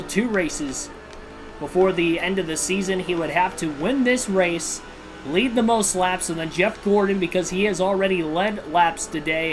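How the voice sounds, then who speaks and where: A man's voice: a little high, rough recording. Man calling a video game race. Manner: steady broadcaster-style narration mixed with personal asides. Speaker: livestream host and race commentator. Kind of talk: realistic play-by-play racing commentary. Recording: video game music clearly behind voice.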